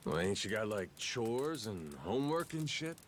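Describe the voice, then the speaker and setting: An actor playing a gruff, easygoing Yakuza man with amnesia in a video game, speaking in rough, teasing, folksy slang. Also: fairly low pitch, big pitch swings, normal speed, a little hiss or hum. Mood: easygoing, wry. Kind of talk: video game cutscene acting. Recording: faint music behind the dialogue.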